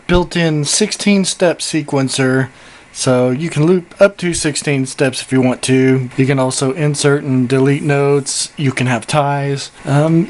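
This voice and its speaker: A man giving a casual product review and demonstration as a synthesizer reviewer. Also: a little high. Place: indoor home studio with synths. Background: synthesizer and drum machine music.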